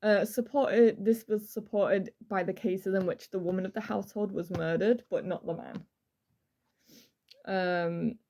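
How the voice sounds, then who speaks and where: A woman's voice: mid-range voice, dark tone. A woman co-hosting a true crime podcast, chatting casually and informally as she tells the story, leaning on repeated words for emphasis. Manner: informal, emphatic repetition for effect.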